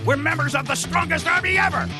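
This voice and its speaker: An actor playing a proud, boastful alien soldier in a game cutscene, indignant and fiercely loyal, with a gravelly edge. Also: male voice, extremely high pitch, fast. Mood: fired up. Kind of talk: animated game cutscene acting. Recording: loud music bed under the voice.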